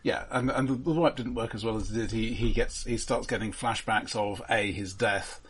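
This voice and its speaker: A man with a low, husky voice talks over a film with his co-host. His points are thoughtful and measured, with the odd stammered restart. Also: steady tone. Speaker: podcast co-host. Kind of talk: casual film discussion.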